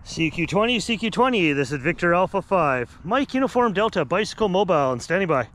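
A middle-aged man from Canada riding a bicycle outdoors, making ham radio calls into a handheld mic in brisk, friendly call-sign patter. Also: high voice, sing-song, a bit bright. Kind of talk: on-air radio calls while cycling. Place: outdoors on a bicycle, residential street. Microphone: handheld radio mic plus helmet camera. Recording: noisy outdoor recording.

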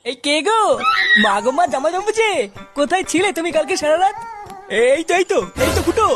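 Brash young cartoon village guy someone voicing him, high pitched, quick talker, crude slangy delivery. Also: lively intonation, music in the background. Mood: indignant. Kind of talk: exaggerated comic cartoon dialogue.

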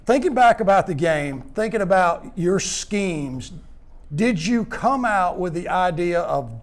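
An older man interviewing high school football players in a clubhouse after a game, upbeat and full of praise. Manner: upbeat, praising, rambling questions. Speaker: postgame interviewer. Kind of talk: postgame sports interview.

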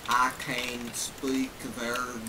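A man playing a bumbling male president with a put-on foreign accent and halting, broken English. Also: mid-range voice, completely flat delivery. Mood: pompous. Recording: distant noisy mic.

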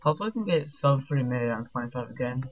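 Young man, speedrunner, casual rambling chat, deep-sounding voice, mid pitch, faint background hum. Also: animated. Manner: rambling, filler-heavy, quick asides.

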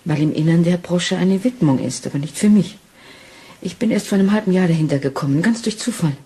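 Woman acting a soft-toned anxious Victorian wife. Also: on the low side, a little fast, natural voice. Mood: earnest. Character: anxious, innocent Victorian wife, fragile and trusting. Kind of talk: German radio crime drama acting.

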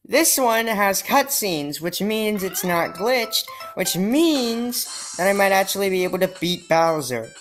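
A woman's voice: medium pitch, expressive, measured pace. A woman commentating on a game she is playing, in a casual, exasperated and sarcastic way, often sighing. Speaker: let's play gamer. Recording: faint game music behind.

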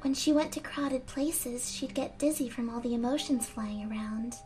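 A young woman voicing a gentle, frail, wistful teenage girl in a video game fan dub, speaking softly and earnestly. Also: fairly high pitch, normal speed, natural voice. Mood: reminiscing. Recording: amateur fan dub over game music. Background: game music.

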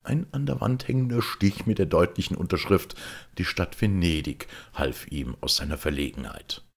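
A man reading a literary story as an audiobook narrator, in an expressive storytelling manner. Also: low-pitched, normal speed, creaky, breathy edge.

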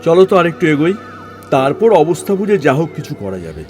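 An irritable, tired overseer from an audio drama. His voice is high for a man and swings widely as he grumbles and gives orders, with music underneath. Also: sing-song, fast talker, darkish tone. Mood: businesslike, deciding. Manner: grumbling, bossy, colloquial with mild swearing. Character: weary, irritable government overseer, man in charge. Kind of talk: Bengali audio story dramatization.

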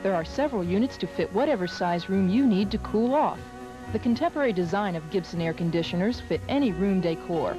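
An American woman reads local advertising copy in a medium-pitched voice. Music plays behind her scripted delivery. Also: expressive, brisk. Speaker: local commercial announcer.

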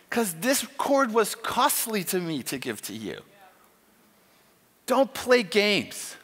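Man, middle-aged, emphatic preacher, high voice, church stage, long reverb. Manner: animated, emphatic, acts out quotes. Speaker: conference speaker. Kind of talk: sermon with storytelling.

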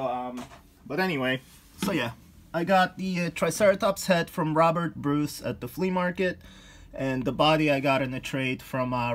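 A man's voice: medium pitch, a bit bright, a little hiss or hum. A man in his thirties giving a casual, rambling walk-through of his collection room, filmed on a handheld phone. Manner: rambling, many ums and uhs, chuckles. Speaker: collector showing his toy pickups. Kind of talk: casual vlog chat. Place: cluttered collection room indoors. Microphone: handheld phone camera, walking around.

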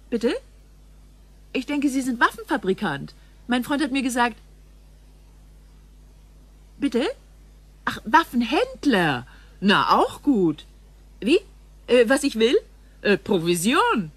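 Lively, husky, blunt woman. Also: a little high, no echo, exaggerated voice. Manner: hesitant, conversational, blunt. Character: blunt, opportunistic worldly woman, brokering a deal. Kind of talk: science fiction radio drama acting.

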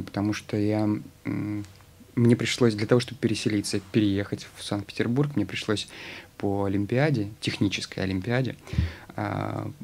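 A man in his thirties talks through his story as a studio interview guest. His voice sits low and mellow, and he pauses often with drawn-out fillers while searching for words. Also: vocal fry. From Russia. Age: 30s. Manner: rambling, many hesitations. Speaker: guest actor. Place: studio with logo backdrop. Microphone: studio mic on stand.

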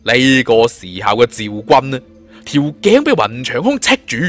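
A man telling a suspense fantasy story in an expressive, colloquial, dramatic style. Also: medium pitch, moderate pace, soft background music. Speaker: audiobook storyteller.

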